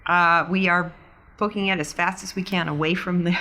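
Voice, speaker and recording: A man's voice: high-pitched, steady tone, moderate pace, bright, forceful tone. A man playing a tabletop game, talking casually and agreeably with the group.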